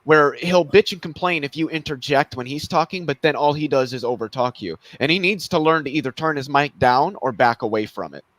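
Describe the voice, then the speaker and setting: A man with a somewhat high voice talks bluntly and indignantly, swearing freely in a casual chat. The sound is dry and close to the mic. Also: fairly quick, hardly any pauses, a bit bright, no room sound. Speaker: co-host of a debate review stream.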